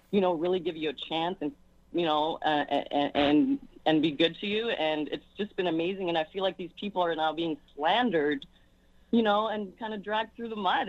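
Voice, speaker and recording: A woman's voice: on the low side, dry recording, highs cut off. A woman whose first language is not English, a firefighter calling in to a radio show, nervous and earnest, stumbling and restarting often. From outside Canada. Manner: earnest, halting, many restarts. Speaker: caller, firefighter. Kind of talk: call-in radio talk. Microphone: phone.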